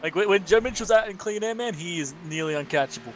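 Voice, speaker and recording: A man's voice: high voice, lively intonation, quick pace, crowd noise. A man giving chatty, informal co-commentary on sim racing.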